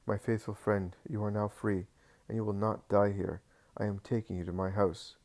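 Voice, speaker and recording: A man's voice: lowish voice, little pitch movement, darkish tone, close to an everyday voice. A man voicing a stern, grave teacher. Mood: tender. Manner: severe, measured.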